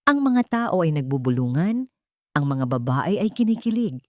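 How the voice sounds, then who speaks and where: A woman's voice: low voice, big pitch swings, moderate pace, no room sound, clean studio sound. A woman storyteller narrating a scripted romantic story.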